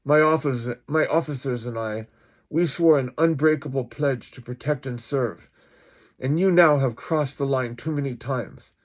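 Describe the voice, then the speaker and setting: A man's voice: medium pitch, measured pace, voice pushed up, lightly acted. Man acting out an indignant police chief. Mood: stern, indignant. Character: indignant, duty-bound male European police chief.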